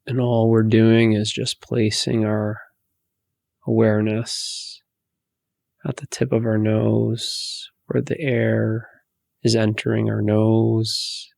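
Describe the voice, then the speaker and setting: Guy doing a guided meditation, soft n soothing, lots of fry, super dry close sound, long pauses. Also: fairly low pitch, completely flat delivery, no room sound. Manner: soothing, hushed, unhurried instruction with long pauses.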